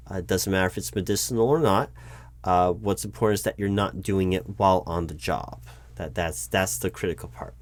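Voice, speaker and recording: A man playing a recruiting manager in a one-sided job interview roleplay, polite and awkward, stumbling through ums and restarts. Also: lowish voice. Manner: hesitant, halting, full of ums and restarts; polite, awkward, stiffly corporate.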